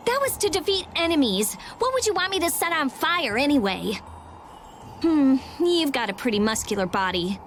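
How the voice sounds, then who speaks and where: An actress voicing a brainy, blunt teenage girl mage in a video game, with a brisk, matter-of-fact, snappy delivery. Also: high-pitched, big pitch swings, fairly bright tone, slight background noise. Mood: snappy, irritated. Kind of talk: animated game voice acting.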